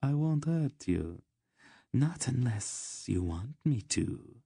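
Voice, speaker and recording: A man's voice: lowish voice, expressive, slow pace, dark tone, no echo, clean studio sound, voice pushed up. Man voicing a languid, purring, cruel queen. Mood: seductive, purring. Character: seductive, cruel, bored queen, purring and cold.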